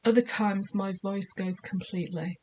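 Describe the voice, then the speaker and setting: A woman speaks in an ordinary middle pitch, her voice a little croaky. She delivers spiritual guidance in a measured, soothing, deliberate way. A faint hiss sits under the recording. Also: steady tone, dark tone. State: slightly croaky voice. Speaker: spiritual guide. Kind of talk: scripted spiritual guidance talk.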